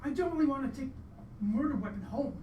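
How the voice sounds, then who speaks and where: A woman's voice: high-pitched, lively intonation, fast. A woman playing a glib, defensive man who shoots crocodiles, in a live radio play. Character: brash, dismissive crocodile-shooting man, expectant father, Leonard. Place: live performance venue, recorded from off. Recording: distant, echoey live stage recording, loud noise.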